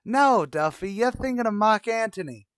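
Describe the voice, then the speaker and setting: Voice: medium pitch, expressive, normal speed, dry recording, studio quality, natural voice. An actor playing a pompous, wisecracking tavern manager with a brash, mock-erudite manner in a radio comedy. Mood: breezy. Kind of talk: old-time radio comedy acting.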